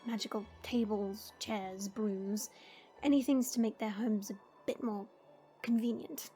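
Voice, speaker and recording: A woman playing a stern, proud but caring fantasy warrior, speaking in a measured, formal and dry way. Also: medium pitch, steady tone, mellow, faint music behind, lightly acted. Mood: calm, instructive. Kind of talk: fantasy audio roleplay acting.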